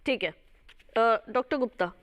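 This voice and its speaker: Clear-voiced woman hosting a phone-in. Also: on the high side, moderate pace. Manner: polite, short acknowledgements. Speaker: host.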